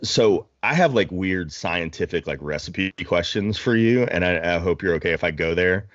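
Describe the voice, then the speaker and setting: A man's voice: sits low, animated, moderate pace, fairly bright tone, no echo, dull audio. A man chatting casually on a podcast, rambling and self-deprecating with jokes. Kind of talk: casual chat.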